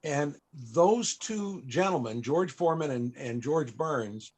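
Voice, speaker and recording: Higher-pitched man hosting a panel. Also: expressive, takes it slowly, a little mellow, slightly rough. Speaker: panel interviewer, entertainer. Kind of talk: conversational panel interviewing.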